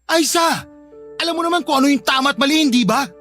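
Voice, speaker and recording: A man's voice: very high voice, quick pace, bright, forceful tone, soft background music. A man with a Filipino accent acting as a hurt, insistent young boyfriend in a radio drama, earnest and pleading. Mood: frustrated, hurt. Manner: earnest, pleading, emotionally pressing.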